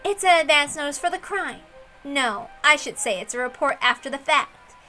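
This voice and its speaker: Woman voicing a smug, high, bright young detective. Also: lively intonation, moderate pace. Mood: wry, scornful. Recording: music under the voice.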